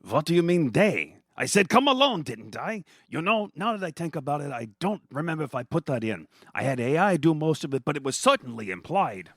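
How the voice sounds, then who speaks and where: A man puts on a menacing villain wizard for a comic horror tale. He rants pompously and gloats, with big swoops in pitch and a very dry, close sound. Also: very animated, a little fast, few pauses, fairly bright tone, dry recording, full character voice. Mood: flustered. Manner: pompous, ranting.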